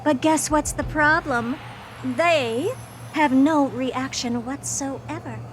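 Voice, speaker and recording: A woman's voice: sits high, takes it slowly, slight background noise. A woman voicing a haughty genius scientist in a video game, who talks bluntly and dismissively. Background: game music.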